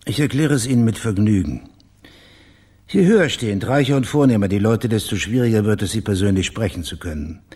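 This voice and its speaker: Male private detective, radio crime play: laconic, dry, husky, on the low side. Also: expressive, brisk, darkish tone. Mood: cool, wry. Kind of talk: naturalistic radio play acting.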